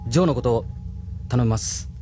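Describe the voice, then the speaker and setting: An actor playing a dutiful young crew member in an audio drama. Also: male voice, mid-range voice, brisk, warm tone, faint music behind, lightly acted. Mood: crisp, dutiful. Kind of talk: Japanese audio drama acting.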